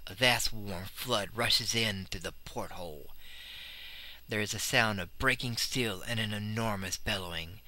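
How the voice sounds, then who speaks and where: A man's voice: lowish voice, measured pace, bright, forceful tone, pitched below the performer's own voice, rough recording. A man playing a terrified young sailor, telling a horror tale of the sea with intense dread. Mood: vengeful, frantic. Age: young adult. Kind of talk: dramatic first-person horror storytelling.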